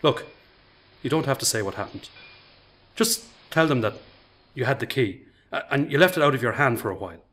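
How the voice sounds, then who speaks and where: A man's voice: medium pitch, lively intonation, fairly quick, pauses often, a bit bright, close to an everyday voice. A man acting in a radio drama as a frustrated, principled worker with an insistent, pleading way of talking. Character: frustrated, principled male worker urging his friend. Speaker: actor in a radio play.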